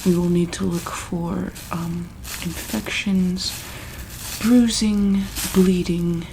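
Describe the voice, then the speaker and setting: A woman speaking softly in an ASMR medical roleplay as a calm, caring doctor, halting often with pauses and ums. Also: lowish voice, background noise. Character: calm, caring female monster-hospital doctor.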